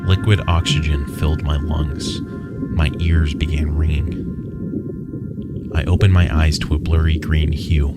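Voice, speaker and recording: A man narrating a first-person horror story in a measured, dramatic way. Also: rumbling low, little pitch movement. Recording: loud music under the voice. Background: loud music.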